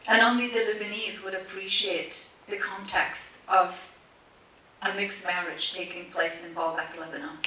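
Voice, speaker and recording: A woman whose first language is Persian gives a measured, prepared memorial speech from a cinema stage, pausing deliberately between listed words. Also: mid-range voice, steady tone, sharp tone. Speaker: speaker introducing a tribute screening. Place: large cinema auditorium, standing on stage. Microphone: stand mic, recorded by a distant camera in the audience. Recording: echoey cinema from far off with faint hiss.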